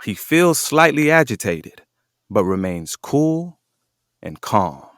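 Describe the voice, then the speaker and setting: A man's voice: medium pitch, very animated, slow pace. A man reading scripted narration for an audio drama as its narrator. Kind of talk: scripted present-tense narration.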